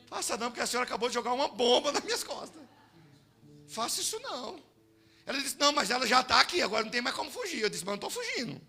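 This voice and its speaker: A Brazilian man preaching in church, his voice sitting very high and sounding sharp and forceful. He shifts between loud exhortation and quiet, conversational storytelling to the congregation, with soft music underneath. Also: very fast, creaky, husky. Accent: Brazilian Portuguese. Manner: animated, swings from shouting to hushed storytelling. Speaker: pastor. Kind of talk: sermon with storytelling. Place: church service.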